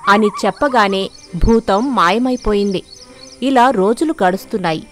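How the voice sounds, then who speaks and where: A woman reads as a storybook narrator, in a mid-pitched voice. The narration is scripted, with light music behind it. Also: normal speed.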